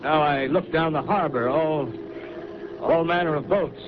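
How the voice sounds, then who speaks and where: An actor playing a grim radio announcer in a 1930s radio drama, delivering halting bulletins. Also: male voice, fairly high pitch, close to an everyday voice. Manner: grave, halting bulletin reports. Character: grim male radio announcer reporting the end. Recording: lo-fi old radio broadcast with loud noise.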